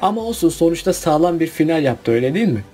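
A man's voice: a little high, very animated, very fast, dark tone, faint music behind. A man narrating a casual countdown video about cartoon finales in a chatty voice-over.

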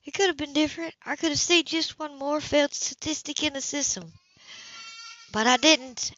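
A woman voicing a tough, wounded woman of twenty-eight in an audiobook, quietly and matter-of-factly recounting. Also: high-pitched, moderate pace, a little hiss or hum, pitched above the performer's own voice. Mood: resolute. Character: tough, wounded 28-year-old woman, controlled and unflinching. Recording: slightly dull home recording.

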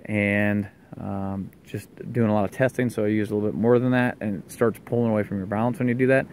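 A man's voice: lowish voice, fairly even intonation, quick pace, darkish tone, a little hiss or hum. An American man giving a casual, unscripted explanation as a DIY video maker, talking outdoors into a handheld camera. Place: outdoors in a leafy wooded yard.

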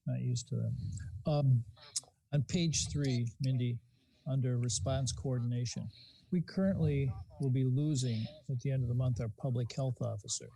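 A man with a dark, mellow voice at medium pitch speaks at a county board meeting. He puts procedural questions in a deliberate, somewhat halting way. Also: steady tone, gravelly, poor audio.

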